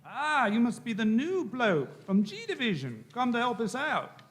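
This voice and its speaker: High, animated police sergeant, acted by a man. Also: normal speed, full character voice. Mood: brisk. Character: brusque, baffled police station desk sergeant. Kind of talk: live radio-play acting.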